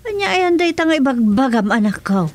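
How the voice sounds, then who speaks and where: A woman's voice: sits high, very animated, fairly quick, dry recording. A woman playing a fussy, caring older village mother in a comedy radio drama. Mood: concerned.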